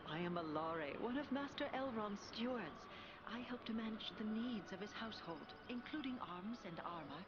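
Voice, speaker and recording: A woman's voice: mid-range voice, lively intonation, background noise, lightly acted. Woman playing a polite elven steward, muffled sound. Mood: welcoming, obliging. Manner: formal, obliging, measured. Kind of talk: video game cutscene acting. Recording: dull band-limited sound, very loud background ambience.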